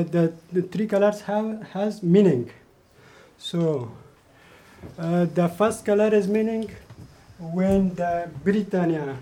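Man, high voice, slow and hesitant, presenting his artwork in broken English. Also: warm tone, slight background noise. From Afghanistan. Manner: hesitant, many fillers and restarts. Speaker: artist presenting an installation.

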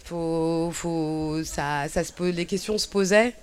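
A woman's voice: on the low side, slow pace. A woman in her thirties, born in Cabinda, speaking reflectively and hesitantly as an interview guest, with many fillers and restarts. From Cabinda, Angola. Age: 30s. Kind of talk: personal testimony in conversation.

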